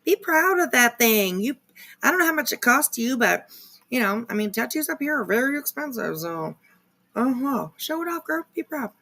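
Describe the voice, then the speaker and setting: A woman's voice: on the high side, big pitch swings, moderate pace, bright, forceful tone, husky. A woman giving casual live commentary as a stream host, rambling and sardonic, with a confrontational edge. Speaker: livestream host reacting to another creator's video.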